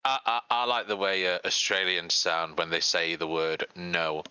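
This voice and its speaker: A low-pitched man with a sharp, bright edge to his voice talks casually in an online call. The sound is dry and close, and he often stumbles over the first word before going on. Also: fairly even intonation, moderate pace, clean tone, dry recording. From northern England, near Manchester. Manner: stammers on starts, chuckles. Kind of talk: casual group voice chat.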